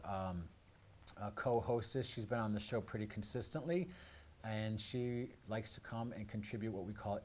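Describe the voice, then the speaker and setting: A man hosting a live radio and streaming show, speaking off the cuff in a halting way with frequent pauses. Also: medium pitch, darkish tone. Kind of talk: unscripted show introduction.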